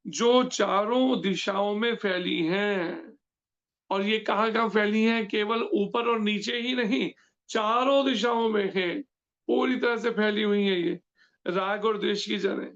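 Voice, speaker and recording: A high-pitched man with a gravelly edge in his voice leads a devotional scripture lecture. He explains point by point and often pauses to ask his listeners questions. The recording sounds dull and lo-fi. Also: a bit bright. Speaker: scripture class teacher.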